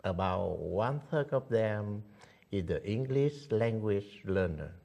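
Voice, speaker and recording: A man interviewing a guest, asking questions in a halting way as he searches for his words. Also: fairly low pitch, expressive, vocal fry, mellow. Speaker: interviewer, local TV host.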